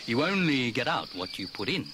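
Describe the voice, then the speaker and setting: A man's voice: medium pitch, big pitch swings, moderate pace, lightly acted. A man with a British accent playing a jovial, rambling retired expatriate in his sixties, giving a chatty testimonial. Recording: very noisy outdoor ambience with insects.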